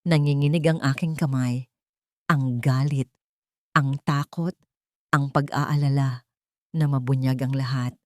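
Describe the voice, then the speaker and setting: A woman's voice: very deep voice, fairly even intonation, measured pace, long pauses, a little mellow, dry recording, studio quality. A woman with a Filipino accent narrating a scripted dramatic story in the first person.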